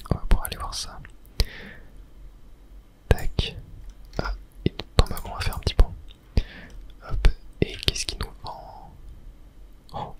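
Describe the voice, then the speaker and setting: A host whispering casual ASMR commentary over a video game, hesitantly thinking aloud. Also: poor audio.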